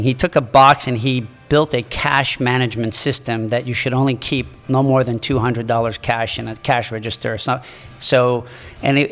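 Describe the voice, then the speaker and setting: A man in his sixties from Morocco, a native French speaker, telling his life story as a podcast guest in a halting, earnest way. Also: mid-range voice, fairly bright tone, long reverb tail. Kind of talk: reflective storytelling in an interview.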